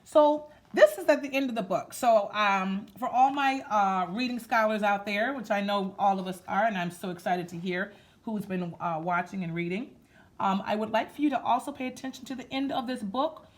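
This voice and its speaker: A woman hosting a children's storytime, reading aloud in an expressive, sing-song way and speaking to her listeners as friends. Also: medium pitch, moderate pace. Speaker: storytime host reading a picture book aloud. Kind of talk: children's read-aloud with rhythmic sound effects and chatty asides.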